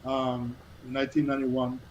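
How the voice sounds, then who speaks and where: A man from Cuba in his fifties, a guest telling his life story in an earnest, halting way with many restarts. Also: medium pitch, completely flat delivery, highs cut off, heavy background noise. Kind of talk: casual storytelling interview.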